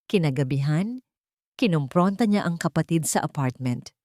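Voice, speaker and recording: A woman narrates a scripted drama in a fairly low voice. The sound is dry, with very little room around it. She delivers it as storytelling. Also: animated, normal speed, darkish tone, no echo.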